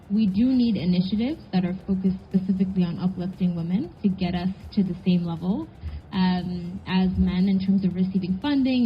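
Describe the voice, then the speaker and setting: Measured woman from Kenya on a panel. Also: on the low side, slight background noise. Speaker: panelist, venture investor. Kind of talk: panel talk. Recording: dull lo-fi event audio.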